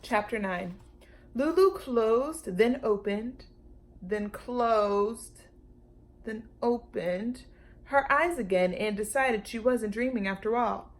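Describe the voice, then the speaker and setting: A woman reading a children's storybook aloud as a storytime teacher, expressive and speaking directly to young listeners. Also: a little high, slow.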